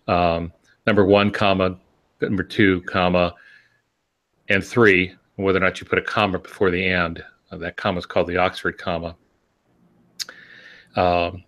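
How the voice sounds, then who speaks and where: Older male podcast co-host, lowish voice, casual explainer with a dry joking streak. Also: fairly bright tone. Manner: wry, joking.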